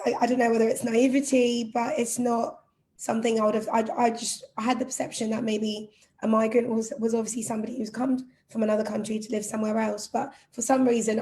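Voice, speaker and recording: A woman's voice: on the high side, gravelly, a little mellow. A young woman from Zimbabwe, a charity outreach officer, speaking earnestly and reflectively on a panel. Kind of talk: panel discussion.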